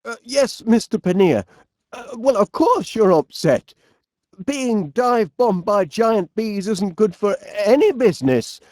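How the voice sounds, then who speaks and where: A genial, bumbling middle-aged English inventor for a comedy video game. He has a Northern English accent and takes his time over his words, with pitch that swoops about. He is eager yet easily flustered and hesitant, recorded in a very dry studio sound. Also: animated, a little slow, darkish tone, dry recording. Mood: flustered, apologetic. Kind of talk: animated comedy game voice acting.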